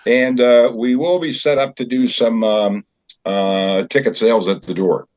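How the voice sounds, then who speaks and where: A man explaining a theater show as its director in an interview, in a conversational, halting way. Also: medium pitch, steady tone, darkish tone, no echo. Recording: dull, lo-fi sound.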